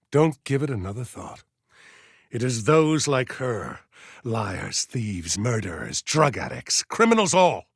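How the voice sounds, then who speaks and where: A stern, God-fearing militia colonel for a video game. His husky voice rises and falls expressively as he hands down solemn, measured orders. The recording is dry and close, keeping every clipped command stark. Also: mid-range voice, a bit bright, dry recording, heavily acted. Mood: solemn, righteous. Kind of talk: dramatic video game voice acting.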